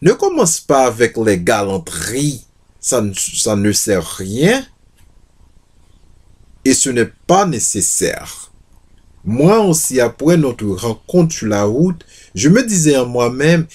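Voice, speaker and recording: A man's voice: medium pitch, big pitch swings, takes it slowly, long pauses, a little mellow. A man voicing an earnest, modest young peasant woman in a storybook reading, hesitant and repeating her words. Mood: modest protest.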